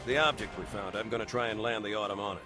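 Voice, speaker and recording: A man playing a grave, steadfast middle-aged starship captain in a video game, speaking with measured military authority. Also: on the low side, steady tone, close to an everyday voice. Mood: grave, resolute. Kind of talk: dramatic video game acting. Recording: game audio under loud music.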